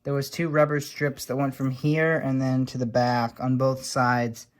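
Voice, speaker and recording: Slow-talking man, casual show-and-tell. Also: medium pitch, little pitch movement. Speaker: vlogger showing old radio repairs.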